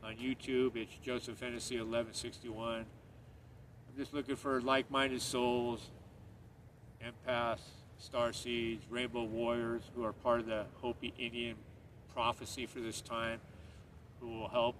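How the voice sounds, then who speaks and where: A man's voice: mid-range voice, flat delivery, a little slow, fairly bright tone. A middle-aged man from Northern Ireland, hosting his own channel and talking to camera in an earnest, rambling way that circles back on itself. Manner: earnest, rambling, repeats himself. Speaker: channel host. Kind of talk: casual monologue to camera. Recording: distant mic, street noise.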